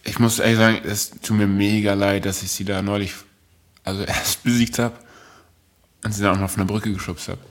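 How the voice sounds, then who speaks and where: A man playing an awkward, sheepish young card duelist in an improvised comedy sketch, speaking haltingly. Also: lowish voice, flat delivery, natural voice.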